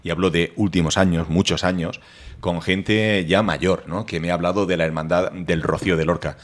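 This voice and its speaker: A man's voice: low-pitched, fairly even intonation, normal speed. A man hosting a radio interview, chatty and rambling as he talks. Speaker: radio host.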